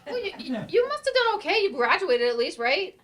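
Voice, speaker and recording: A stage actress as a wisecracking adult student and mother. She is blunt and sardonic, with a high, animated voice and a casual delivery for a live play reading. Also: brisk, heavily acted.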